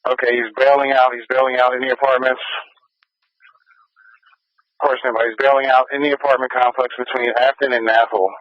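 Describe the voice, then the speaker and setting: A man's voice: medium pitch, little pitch movement, moderate pace, long pauses, no echo, muffled recording. An American man working as a police radio dispatcher, repeating key details in clipped, official phrases over a scanner feed. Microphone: radio transmission.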